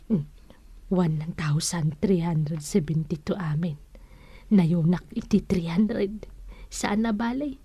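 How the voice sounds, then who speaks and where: A woman's voice: fairly low pitch, mellow, slightly rough, no room sound, heavily acted. A woman playing a sly village storekeeper in a comedic radio drama, with a Northern Philippine accent and a sheepish, muttering way of talking. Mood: muttering, calculating. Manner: mutters sums to herself, chuckles sheepishly. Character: sly village storekeeper councilwoman who pads customers' debts.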